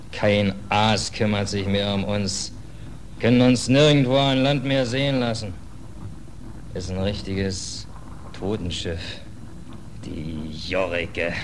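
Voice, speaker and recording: A man playing an embittered, hungry sailor in a 1940s radio drama, brooding and colloquial. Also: fairly low pitch. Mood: bitter, brooding. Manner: colloquial, brooding, indignant, clipped working-class speech. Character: embittered, hungry stateless sailor on a death ship. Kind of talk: 1940s German radio play acting. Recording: noisy old 1940s radio recording.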